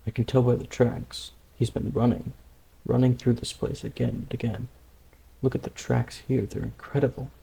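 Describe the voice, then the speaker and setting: An actor playing a calm, independent young tribesman, a stubborn younger brother, in a dramatic manga dub with an earnest, measured manner. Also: medium pitch, gravelly, slight background noise, rough recording. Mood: intrigued, calm.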